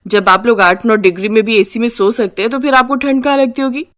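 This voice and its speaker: A woman's voice: on the high side, fairly even intonation, brisk, a bit bright, close to an everyday voice. Sarcastic, fed-up young daughter-in-law, acted by a woman. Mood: mocking. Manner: taunting, sarcastic. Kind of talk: Hindi moral-story cartoon dialogue.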